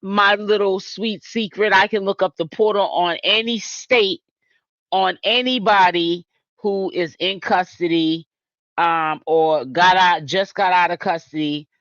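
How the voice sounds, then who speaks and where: A man's voice: sits high, fairly even intonation, measured pace, a bit bright, no echo, highs cut off. A man from Boston hosting a casual live-stream commentary, chatting straight to his viewers. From Boston, Massachusetts. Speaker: YouTube commentary host.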